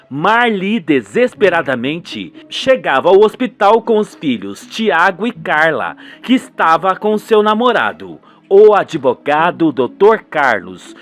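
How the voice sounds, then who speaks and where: A man with a Brazilian accent reading dramatic scripted narration for a radio soap. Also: sits high.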